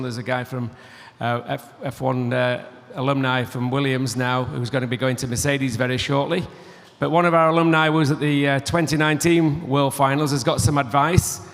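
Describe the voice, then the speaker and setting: A man's voice: medium pitch, little pitch movement, brisk, creaky. A man presenting on stage, introducing the next speaker to students in a loose, encouraging way with fillers. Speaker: event presenter. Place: large hall. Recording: long hall reverb.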